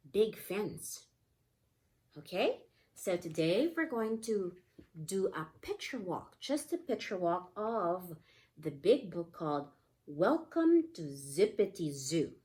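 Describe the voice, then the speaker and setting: A woman teaching young children in a read-aloud lesson, explaining simply and prompting with repeated questions. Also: on the low side. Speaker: pre-K teacher.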